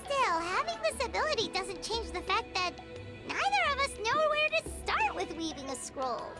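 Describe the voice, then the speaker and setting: A squeaky sprite companion. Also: female voice, big pitch swings. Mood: curious. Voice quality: high, squeaky and bouncy. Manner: chirpy, quick to exclaim. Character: tiny excitable female travel companion sprite. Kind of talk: game dialogue. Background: game music.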